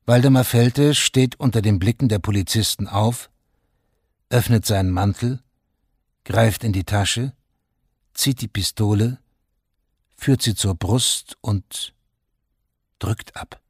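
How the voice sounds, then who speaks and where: A sober male narrator of a radio crime play. His voice is fairly low and husky, recorded very dry. The delivery is detached and scripted. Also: slow pace, pauses often, dry recording, exaggerated voice.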